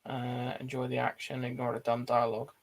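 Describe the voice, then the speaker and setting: A British man hosts a casual online film chat with a gravelly creak in his voice. His pitch sits in the middle, and his way of talking is blunt and informal. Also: monotone, fairly quick. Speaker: host of an online film show. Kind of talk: casual chat, reading out viewer comments.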